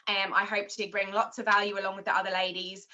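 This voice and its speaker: Bright-voiced English woman, direct. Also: medium pitch, level tone, fast, dull audio. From London, England. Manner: direct, questioning. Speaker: marketing director leading a team call. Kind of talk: motivational training call.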